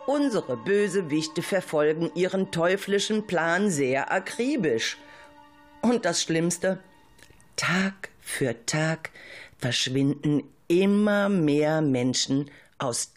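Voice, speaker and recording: A man with a German accent narrates a spooky radio play. His voice sits a little high and moves in lively swings of pitch. He tells it theatrically and suspensefully, over faint music. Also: slow pace. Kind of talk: dramatic scripted storytelling for a radio play.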